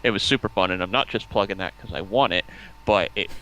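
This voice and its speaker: Casual male stream guest: bright, forward tone on the low side, enthusiastic and rambling. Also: quick pace, slight background noise, rough recording. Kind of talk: casual chat.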